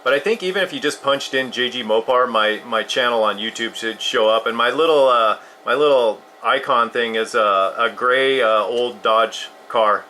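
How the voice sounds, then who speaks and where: 50s guy chatting on cb, bright punchy voice, bit of noise behind him. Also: mid-range voice, moderate pace, few pauses. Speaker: CB radio operator. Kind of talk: casual CB radio conversation.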